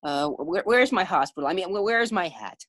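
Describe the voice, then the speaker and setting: Flustered, stammering sitcom husband, played by a woman: low register, lively, anxious, vintage radio style. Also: brisk, no room sound. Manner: stammering, befuddled. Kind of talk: 1940s radio sitcom acting.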